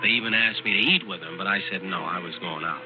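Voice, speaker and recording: Actor as a sly, low-voiced young American man. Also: natural voice. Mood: sly, confiding. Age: young adult. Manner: folksy, halting, insinuating. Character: sly, calculating young man feigning boyish grief. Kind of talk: mid-century radio drama acting, dialogue and first-person narration. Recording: dull vintage radio recording, music underneath.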